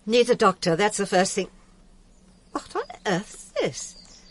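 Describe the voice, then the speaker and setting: A woman playing an unflappable older English mother in a radio drama, brisk, haughty and dismissive. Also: medium pitch. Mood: brisk, unruffled.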